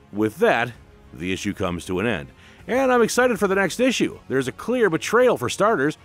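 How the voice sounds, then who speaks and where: A man narrating a scripted comic book recap with informal commentary in a dramatic, emphatic way. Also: medium pitch.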